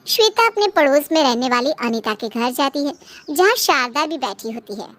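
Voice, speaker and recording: A woman's voice: high voice, lively intonation, normal speed, fairly bright tone. A woman reading scripted narration for a cartoon moral story.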